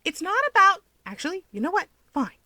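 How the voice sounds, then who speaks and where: A woman's voice: very high-pitched, big pitch swings, a little fast, sharp tone, no echo, pitched above the performer's own voice, exaggerated voice. A woman voicing a cocky, insistent young male hero from a fan story, with a taunting, emphatic delivery. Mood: angry, accusing.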